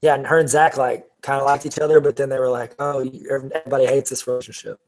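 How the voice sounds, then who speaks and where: A man co-hosting a podcast in casual joking chat, halting and often trailing off. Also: medium pitch, level tone, darkish tone. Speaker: podcast co-host.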